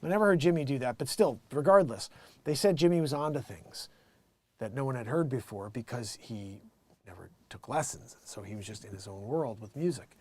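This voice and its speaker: A middle-aged American man hosting a casual livestream, rambling emphatically and excitedly in an unscripted monologue, often acting out bits of quoted conversation. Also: medium pitch, fairly quick, slightly rough. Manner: animated, rambling, emphatic, quotes himself and others. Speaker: live music show host and musician.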